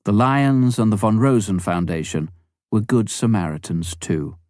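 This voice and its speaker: A man reading a memoir aloud as an audiobook narrator, in a measured, clearly phrased scripted delivery. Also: fairly low pitch, a little mellow, dry recording, clean studio sound.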